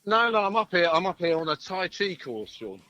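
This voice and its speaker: Lively man chatting, jokey and rambling. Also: sits high, fairly quick, highs cut off, faint music behind. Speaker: band musician, interview guest. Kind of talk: casual chat. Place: outdoors, outside a pub. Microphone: phone call through Bluetooth headset.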